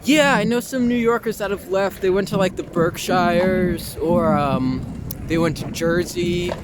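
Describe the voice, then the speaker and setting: A man's voice: sits high, a little slow. A young man from Long Island chatting casually and informally in an outdoor interview in a city park, swearing freely and poking fun at himself. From Long Island, New York. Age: young adult. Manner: informal, self-deprecating, frequent swearing and "like". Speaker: interview guest, performer. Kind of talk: casual street interview chat. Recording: outdoor recording with clear traffic noise.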